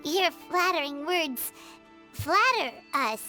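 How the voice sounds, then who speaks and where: A tiny, cheeky fairy companion from an anime game. The voice is extremely high and childlike, leaping around excitably. Her chatter is blunt and theatrical, with clear music behind it. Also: slow pace, exaggerated voice. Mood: nervous, awed. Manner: speaks of self in third person, blunt, theatrical. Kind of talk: anime video game voice acting.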